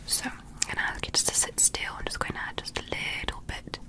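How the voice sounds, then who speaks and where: A woman voicing a calm, curious alien doctor in a breathy whisper, soothing and methodical, in an ASMR medical roleplay. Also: a little fast, close to an everyday voice. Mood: calm, clinical. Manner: soothing, methodical, softly instructing. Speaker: ASMR performer.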